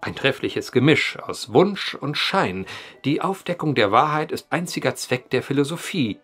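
Lively, wry, eloquent truth-seeker. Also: male voice, mid-range voice, normal speed, breathy edge, faint music behind. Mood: musing, wry. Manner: eloquent, dryly ironic, polite. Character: wry truth-seeking journalist posing as clumsy farmhand. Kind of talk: German audio drama acting.

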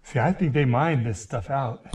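A man explores an old mine tunnel and muses aloud about what he sees. His voice is mellow and unhurried, and he often drops it to a hush. A faint hiss sits under the recording. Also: medium pitch. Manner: curious, thinking aloud, often hushed to near-whisper. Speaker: mine explorer and channel host. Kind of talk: casual exploring commentary. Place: inside an abandoned mine tunnel.

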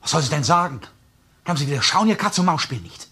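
A man's voice: a little high, animated, fast talker, pushed and bright, slightly rough, full character voice. Tense, cornered male murder suspect. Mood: indignant. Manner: agitated, accusatory. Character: cornered, defensive husband suspected of murder, agitated. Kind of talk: crime drama acting.

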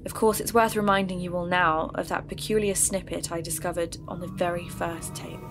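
A woman with a lowish voice narrates her search into a local mystery. She speaks in an earnest, measured way. Music plays behind her. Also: fairly even intonation. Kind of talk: scripted narration.

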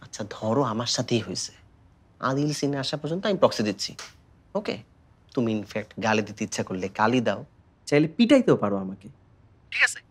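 A man's voice: medium pitch, sing-song, fast, long pauses, a bit bright. A young man with a Bangladeshi accent acting an earnest, supportive college friend who speaks persuasively in a short-film drama, mixing in English. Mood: reassuring. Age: young adult. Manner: persuasive, mixes English into speech.

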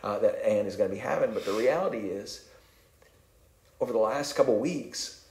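Calm, low-voiced pastor in an echoey church. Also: male voice, normal speed. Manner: conversational, self-deprecating, repeats words. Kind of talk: sermon. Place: church sanctuary.

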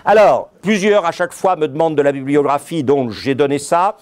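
A man's voice: a little high, a little fast. A middle-aged lecturer from France speaks with a slightly raised voice, wandering through ironic asides and little tag questions to the room. His delivery stays conversational rather than scripted, like a seasoned professor holding a class. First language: French. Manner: digressive, ironic, with frequent "hein" asides. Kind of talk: university-style history lecture. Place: lecture hall.

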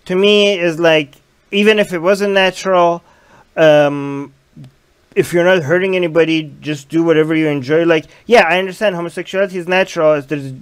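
A man's voice: a little high, smooth. A young man hosting a livestream in a small room, reading viewer comments aloud into a headset mic and arguing his points in casual chat. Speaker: livestream host.

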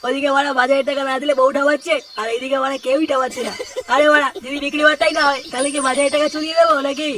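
Someone playing a whiny high-voiced cartoon tea seller. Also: little pitch movement, rapid-fire, few pauses, fairly bright tone. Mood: grumbling. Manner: whining, repetitive, pleading. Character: poor, pleading, put-upon street tea seller, an older uncle. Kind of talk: Bengali comedy cartoon voice acting. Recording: noisy, distant pickup with clicks.

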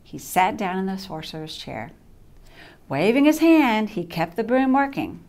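An older woman reading a children's bedtime story aloud in an expressive, deliberate way, with dramatic emphasis. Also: mid-range voice. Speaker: storybook reader, childcare coordinator. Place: small indoor set with curtain backdrop.

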